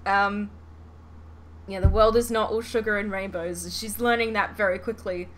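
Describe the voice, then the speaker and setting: Bright-voiced woman, noisy podcast recording. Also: mid-range voice, fairly even intonation, moderate pace. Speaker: podcast host. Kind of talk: casual fan chat reviewing a show.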